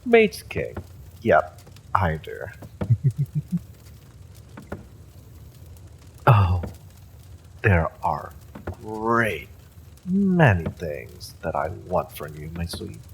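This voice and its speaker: Evil male sorcerer, fantasy audio drama, deep, widely swooping delivery, gloating, mock-courteous, silky threat. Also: huge pitch swings, very slow, creaky, long pauses, a little hiss or hum, heavily acted. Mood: theatrical, amused. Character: evil male sorcerer, smug, theatrical, silkily menacing conqueror. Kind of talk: dramatic villain monologue, audio roleplay.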